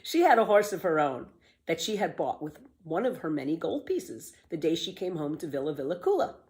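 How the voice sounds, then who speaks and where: A woman reading a children's book aloud as a storyteller, with expressive, emphatic scripted narration. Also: sits low, brisk.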